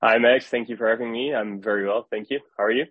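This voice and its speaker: A young man with a Dutch accent, a startup founder answering interview questions in a halting way, often repeating words as he thinks. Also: mid-range voice, fairly even intonation, no echo, dull audio. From Netherlands.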